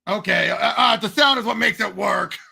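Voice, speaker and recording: High male voice, bright, gravelly creak, emphatic, casual film podcast chat. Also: normal speed. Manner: emphatic, repeats phrases eagerly. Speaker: podcast panelist. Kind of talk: casual movie discussion.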